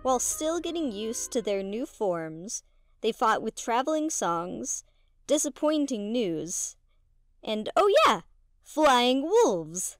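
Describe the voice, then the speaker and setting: A woman's voice: a little high, very animated, slow, dry recording. A woman reading a scripted recap as narrator of a game podcast. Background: music.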